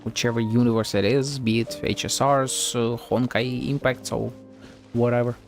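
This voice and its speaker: A man's voice: medium pitch, fairly even intonation, moderate pace. A man streaming a game, thinking aloud in casual playthrough commentary. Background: soft game music.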